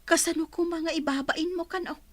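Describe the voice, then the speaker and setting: A woman acting as a gentle, doting older mother in a radio drama. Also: very high voice, steady tone, moderate pace. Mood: motherly concern.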